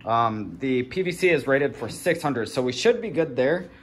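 A man's voice: mid-range voice, lively intonation, normal speed. A man vlogging casually and informally, walking viewers through a hands-on DIY project. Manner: informal, addressing viewers as guys. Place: home garage workshop. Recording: handheld recording with clear background noise.

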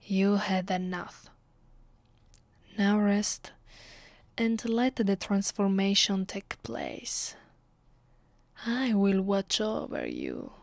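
Woman acting as vampire mistress, Italian accent, haughty, possessive, soft breathy half-whisper, unhurried, medium pitch, ASMR roleplay. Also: very animated, dry recording, full character voice. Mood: commanding, tender. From Italy. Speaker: voice actress. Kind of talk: ASMR roleplay, intimate scripted monologue.

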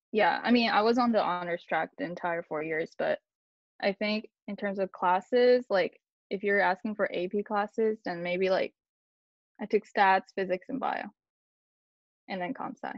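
A woman's voice: mid-range voice, no room sound, lo-fi sound. A young woman who grew up in Japan and Taiwan, a college student answering questions casually on a video call, chatty and self-deprecating with many fillers. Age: young adult. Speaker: college student on alumni panel. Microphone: laptop mic on a video call.

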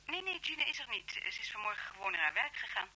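Anxious middle-aged mother: sharp, lively voice on a hissy phone line, vintage serial. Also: medium pitch, fast, slightly rough, heavily acted. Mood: polite. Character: polite, anxious mother of an adult daughter. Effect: telephone voice. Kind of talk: vintage Dutch radio drama acting. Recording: hissy telephone line in old radio play.

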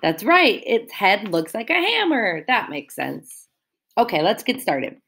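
A woman teacher reads a picture book aloud to young children, pausing to explain new words and ask them questions. She speaks slowly and patiently. Her voice swoops up and down in a lively, sing-song way. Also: mid-range voice, gravelly, slightly rough.

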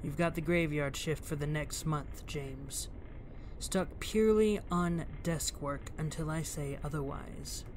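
An actor playing an authoritative police commissioner, diplomatic but stern, with formal and deliberate speech in an audio drama. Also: male voice, a little high, a little mellow, a little hiss or hum, natural voice.

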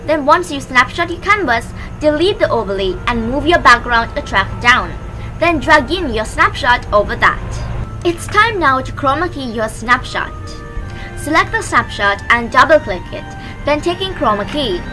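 A woman's voice: high-pitched, expressive, normal speed, bright, forceful tone, poor audio. A young woman hosting a tutorial, giving scripted step-by-step instructions into a close microphone. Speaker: tutorial host. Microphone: close microphone on a stand. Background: background music.

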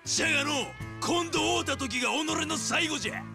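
Gravelly, hot-headed gangster boss. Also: male voice, very high-pitched, fairly quick, music in the background. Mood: threatening. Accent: Hiroshima Japanese. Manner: gruff, taunting. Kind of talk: video game cutscene acting.

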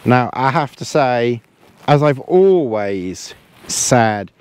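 A man's voice: medium pitch, animated, takes it slowly, darkish tone, clear, resonant tone. A man, casually reviewing camping gear while lying in a tent, rambling and repeating himself. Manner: chatty, rambling, repeats words, chuckles. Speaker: outdoor gear vlogger. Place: inside a small tent, lying in a sleeping bag.

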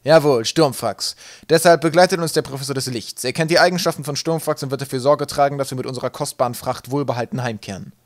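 A man's voice: mid-range voice, fairly even intonation, rapid-fire, few pauses, natural voice. A man voicing a furious sky pirate captain in an audiobook, bellowing and barking orders. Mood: forceful, announcing. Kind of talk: audiobook character acting.

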